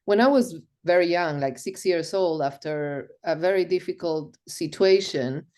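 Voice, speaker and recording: Woman telling life stories on a podcast call, lower voice. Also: a little slow, dark tone. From Argentina. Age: middle-aged. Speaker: podcast guest. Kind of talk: storytelling interview.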